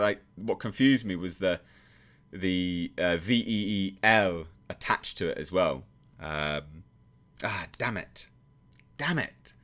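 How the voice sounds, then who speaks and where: A man's voice: on the low side, fairly bright tone. A man from England, host of his own channel, chatting casually on a livestream and reading chat, self-deprecating and full of restarts.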